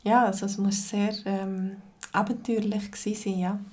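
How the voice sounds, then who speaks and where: A woman with a Zurich Swiss German accent hosts the conversation, setting up each question gently and inviting her guest to talk. Her voice sits at an ordinary middle pitch. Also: little pitch movement, normal speed. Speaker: host and language teacher. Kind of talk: interview host.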